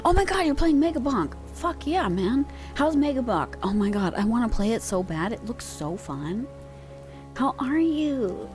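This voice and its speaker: A woman's voice: fairly high pitch, very animated, moderate pace, darkish tone, faint music behind. A young woman streamer chatting casually with her viewers in a slangy, laid-back way, using pet names, on a close microphone.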